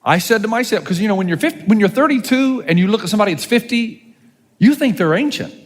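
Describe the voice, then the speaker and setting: A man in his fifties, a pastor, preaching a sermon with animated, joking delivery and rhetorical questions. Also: sits high, quick pace, big-room sound. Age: 50s.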